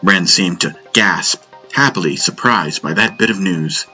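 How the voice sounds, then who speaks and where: Deep-voiced man narrating over music. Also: unhurried, pushed and bright. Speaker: audiobook narrator. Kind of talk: scripted first-person story narration.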